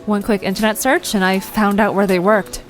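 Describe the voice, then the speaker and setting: A woman playing a bold, nosy young amateur journalist in an audio drama, with a brisk, confident, playful delivery. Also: medium pitch, fairly even intonation, faint music behind, close to an everyday voice. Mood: smug. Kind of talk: conversational audio drama acting.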